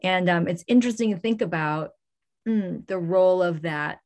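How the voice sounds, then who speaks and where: A woman curator moderating a public discussion, engaged and appreciative, often pausing with ums. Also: on the low side, darkish tone. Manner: engaged, grateful, frequent ums.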